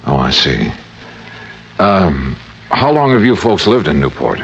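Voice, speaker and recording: An actor playing a cool, probing insurance investigator who questions politely and narrates in an old-time radio drama. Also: male voice, very deep voice, sing-song, unhurried, slight background noise, full character voice. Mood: courteous probing. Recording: dull old-time radio recording with music.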